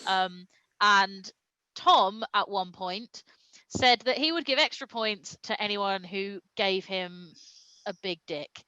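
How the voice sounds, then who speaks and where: A woman's voice: mid-range voice, slow, bright, forceful tone, no echo, lo-fi sound. A woman reading a comic story aloud with dramatic storytelling delivery and amused asides. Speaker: reader of comic fan fiction at a live stream show.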